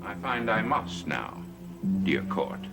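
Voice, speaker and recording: A deep-voiced scheming warlock. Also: male voice, sing-song, slow, vocal fry, heavily acted. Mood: smooth. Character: sly scheming male warlock, middle-aged. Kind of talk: 1960s soap opera acting. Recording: old TV soundtrack with music. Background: music.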